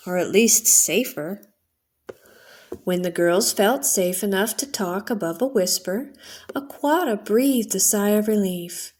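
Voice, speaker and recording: A middle-aged woman reading a children's story aloud as a storyteller, in a deliberate, expressive way. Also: mid-range voice. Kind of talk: bedtime story narration, read aloud.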